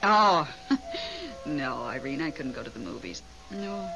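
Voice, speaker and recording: An actress playing a grieving, clinging middle-aged widow in a radio drama, trying to be brave. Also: female voice, mid-range voice, natural voice. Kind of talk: 1970s radio drama acting. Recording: muffled old radio recording.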